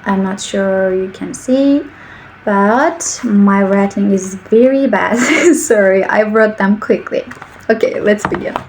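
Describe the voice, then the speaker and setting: A woman in her twenties from Turkey with a Turkish accent, speaking English slowly and carefully as a channel host giving speaking practice. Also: medium pitch, background noise. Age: 20s. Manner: slow, careful speech with simple sentences. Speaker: English teacher. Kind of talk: slow English practice monologue.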